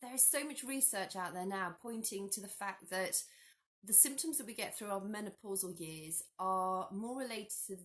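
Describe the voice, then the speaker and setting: A woman talks straight to her phone camera from her living room, a little hoarse from a cold. She explains things in a chatty, animated way, often breaking off and restarting her sentences. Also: medium pitch, moderate pace. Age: 40s. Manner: chatty, animated hand gestures, frequent restarts. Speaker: health vlogger. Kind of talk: casual explanatory talk to camera. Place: living room at home, indoors.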